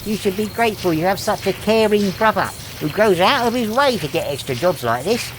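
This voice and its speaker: A woman's voice: mid-range voice, expressive, brisk, background noise, lightly acted. A woman playing a mocking, bossy companion with dry sarcasm in a comedy audio drama. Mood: dry mockery. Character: mocking, bossy companion needling monster hunters.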